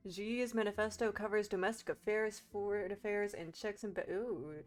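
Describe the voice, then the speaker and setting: A woman's voice: mid-range voice, fairly even intonation, moderate pace, faint music behind. A woman doing playful, sarcastic commentary on a game playthrough, sometimes reading text aloud. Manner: playful, sarcastic, foul-mouthed. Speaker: game streamer.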